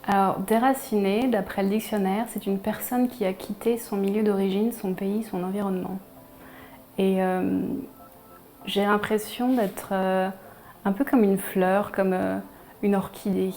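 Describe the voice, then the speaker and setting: A woman with an accent from France gives a personal, reflective interview. Her voice is soft-edged and mellow with some vocal fry, and her pitch stays fairly level. She pauses mid-phrase as she looks for the next word. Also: fairly even intonation. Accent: French from France. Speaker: painter, interviewee. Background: background music.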